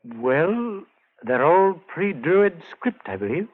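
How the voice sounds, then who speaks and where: An actor playing a serious, skeptical gentleman who has lost his brother, speaking formally and deliberately in 1940s radio drama style. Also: fairly high pitch, very animated, exaggerated voice. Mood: earnest recounting. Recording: muffled, tinny old-time radio recording.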